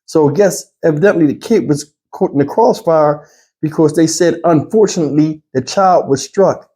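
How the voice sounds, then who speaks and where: A man's voice: on the high side, normal speed, dark tone. A man giving off-the-cuff commentary to his viewers in an emotional, exasperated, pleading manner. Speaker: YouTube news commentator.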